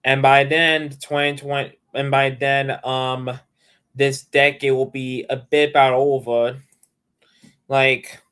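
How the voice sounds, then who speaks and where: A man's voice: medium pitch, fairly bright tone. A young man chatting casually on his livestream, rambling and restarting his sentences. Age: young adult.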